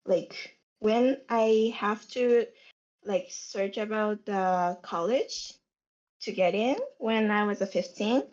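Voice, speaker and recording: A woman's voice: medium pitch, slow, darkish tone. A young woman from Japan with a Japanese accent, chatting as a podcast guest and searching for her words as she goes. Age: young adult. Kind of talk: casual podcast chat. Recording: dull lo-fi sound.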